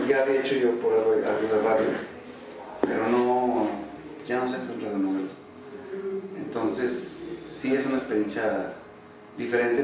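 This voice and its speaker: A Mexican man in his thirties chatting casually as he answers interview questions. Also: lowish voice. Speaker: interviewee, radio drama actor. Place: small office. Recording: very echoey office, distant muffled mic, faint noise.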